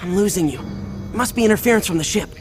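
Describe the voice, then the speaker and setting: An actor voicing a brave, wisecracking teenage boy hero in a video game, determined and quick with a joke. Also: high voice, animated. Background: music.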